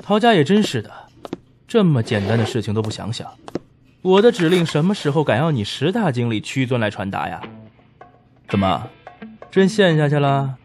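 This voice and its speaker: A man acting a lively, cheeky young boss. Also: medium pitch, normal speed, long pauses. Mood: teasing. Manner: teasing, playful. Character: teasing, cheeky young male boss and buddy. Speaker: amateur voice actor. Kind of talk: audio drama acting. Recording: loud music behind the voice.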